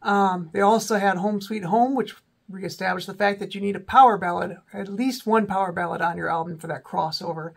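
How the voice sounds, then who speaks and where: A man in his forties with a cold and a slightly sore, raspy throat, casually talking through rock albums as host of his own channel, with many ums and self-corrections. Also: high voice, fairly even intonation, a little fast. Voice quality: raspy, voice may crack. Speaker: rock music video host. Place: small indoor room with a backdrop.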